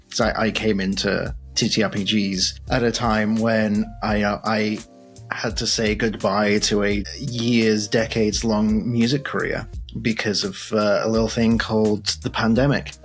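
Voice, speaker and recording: A man's voice: fairly low pitch, steady tone, moderate pace, vocal fry, soft background music. A man speaking as a podcast host in a conversational interview, with courteous, slightly theatrical phrasing.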